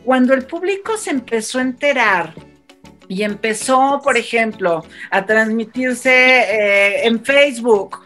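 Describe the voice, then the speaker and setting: A middle-aged woman with a Mexican accent and a fairly high voice talks casually as an experienced announcer. She tells anecdotes in an animated, conversational way, often tagging her sentences for agreement. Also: slow, faint music behind. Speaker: radio announcer and panel guest.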